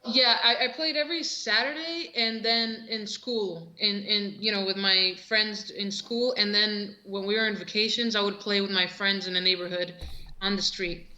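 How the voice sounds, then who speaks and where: A young woman from Costa Rica, an interview guest, telling her story in a relaxed way with many fillers and restarts over a video call. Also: mid-range voice, moderate pace, roomy sound, low-quality recording. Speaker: interview guest, professional soccer player.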